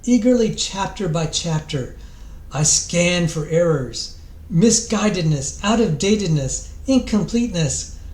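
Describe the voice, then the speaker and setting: A high-pitched male voice put on by a man declaims a book introduction in an echoey room. The delivery is theatrical and solemn, with grand dramatic pauses and the odd stumble over a repeated word. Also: animated, a little mellow. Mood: grandly solemn. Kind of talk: mock-dramatic reading aloud.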